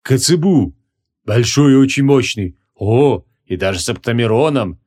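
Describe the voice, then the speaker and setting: A man voicing a gleeful, excitable half-blood sorcerer who drives flying beasts, in an audiobook. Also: medium pitch, normal speed, close to an everyday voice. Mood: delighted. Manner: excitable, exclaiming, coaxing. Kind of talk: audiobook character acting.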